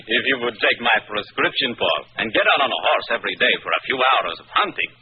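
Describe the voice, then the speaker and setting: A man's voice: mid-range voice, big pitch swings, brisk, slightly rough. An actor playing a hearty, down-to-earth nobleman's cousin, jovial and confident, in 1940s radio drama style. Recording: muffled old radio broadcast.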